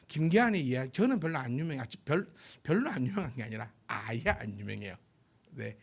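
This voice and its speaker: A man in his forties, a pastor, giving a chatty, informal sermon with a self-deprecating manner. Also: mid-range voice. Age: 40s. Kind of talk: sermon, conversational and anecdotal.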